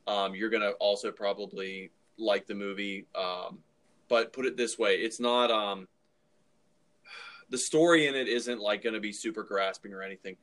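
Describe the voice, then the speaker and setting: A man's voice: on the low side, fairly even intonation, moderate pace, fairly bright tone. A man hosting a casual movie discussion in a rambling, hedging way. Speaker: podcast host.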